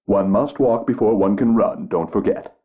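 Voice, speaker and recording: An actor playing a condescending, self-important tutor of the paranormal in a mystery game. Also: male voice, on the low side, normal speed, close to an everyday voice. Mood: smug. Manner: pompous, condescending. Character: smug male con-man tutor, middle-aged. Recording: tinny phone-like game audio.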